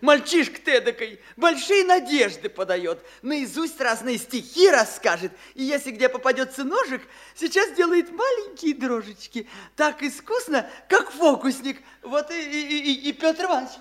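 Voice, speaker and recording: A man's voice: very high-pitched, big pitch swings, moderate pace, few pauses, fairly bright tone, heavily acted. An actor playing a timid small-town landowner in a radio play, meek and hesitant, servile toward his guest. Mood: meek, fawning. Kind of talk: classic radio theatre acting.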